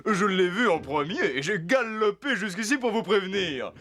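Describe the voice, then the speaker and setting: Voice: mid-range voice, expressive, moderate pace, crowd noise, natural voice. An actor voicing a boastful, hasty zebra in a French cartoon, talking in a bragging rush. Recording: voice over music.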